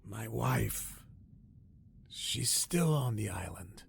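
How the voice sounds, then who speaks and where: Gravelly, dying old castaway. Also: male voice, lowish voice, lively intonation, dry recording, heavily acted. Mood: grieving, resigned. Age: older. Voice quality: weak, raspy, breathy. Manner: halting, solemn, old-fashioned phrasing. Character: dying old castaway man, weary, haunted, grateful. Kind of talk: radio drama storytelling.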